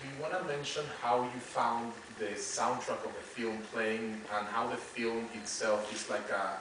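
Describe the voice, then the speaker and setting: A man's voice: mid-range voice, fairly even intonation, moderate pace. A man casually asking a prompting question at a live Q&A. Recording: very echoey theater, distant, noisy.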